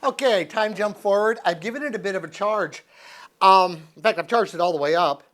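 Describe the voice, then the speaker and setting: A man's voice: high voice, brisk, fairly bright tone. An American man in his sixties chatting casually and unscripted as he reviews a product, thinking aloud in a folksy, easygoing manner. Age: 60s. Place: living room with couch, indoors.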